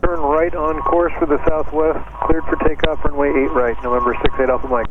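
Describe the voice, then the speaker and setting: Man, pilot on very noisy aviation radio, slightly high voice, procedural readbacks. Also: fairly even intonation, a little fast. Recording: tinny, very noisy cockpit radio link.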